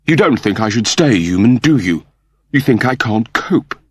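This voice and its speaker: A man's voice: fairly low pitch, lively intonation, moderate pace, slightly rough, no echo, clean studio sound. A man voicing a fussy, earnest mechanoid turned human in an audiobook, with a plaintive, pedantic manner. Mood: wounded, earnest.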